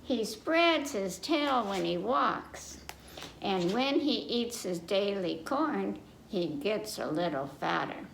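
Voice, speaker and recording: American woman reading to kids, gravelly creak. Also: medium pitch, lively intonation, slow pace, a bit bright. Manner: simple, repetitive, checking in with young listeners. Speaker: children's librarian, story time host. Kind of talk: children's picture book read-aloud with asides to listeners. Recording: echoey room with faint noise.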